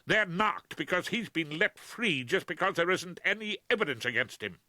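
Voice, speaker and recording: Man playing a wrathful, sharp-voiced radical woman lawyer. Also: sits high, lively intonation, normal speed, no room sound, voice pushed up, full character voice. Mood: accusing. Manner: indignant, sarcastic. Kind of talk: audiobook character voice.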